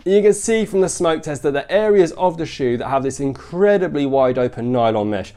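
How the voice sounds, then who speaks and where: A man's voice: a little high, expressive, a little fast, warm tone, soft background music. A man with a bunged-up, congested nose, giving an upbeat sneaker review vlog and often repeating "really, really nice". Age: adult father.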